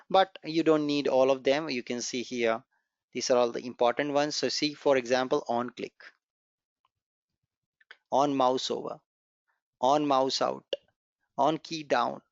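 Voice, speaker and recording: A man's voice: medium pitch, fairly even intonation, measured pace, vocal fry, pauses often, no room sound. Man, native Gujarati speaker, coding instructor, encouraging, repeats himself, dull lo-fi sound. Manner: encouraging, repeats key points, walks through code step by step. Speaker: programming instructor. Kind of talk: live online coding class. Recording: dry, dull lo-fi online class recording.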